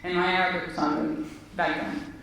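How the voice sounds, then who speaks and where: A woman's voice: lowish voice, flat delivery, fairly quick, a bit bright. Woman, echoey room. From Israel. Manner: earnest, reflective. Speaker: physician guest. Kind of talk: personal storytelling in conversation. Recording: echoey room, distant mic, faint noise.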